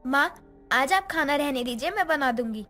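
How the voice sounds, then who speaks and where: A woman's voice: high voice, expressive, normal speed, bright, forceful tone, soft background music. A woman playing a young stepdaughter in a moral story, with a theatrical, emotive delivery. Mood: polite, sweet. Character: young stepdaughter, vengeful schemer who later repents. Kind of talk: dramatized Hindi moral story acting.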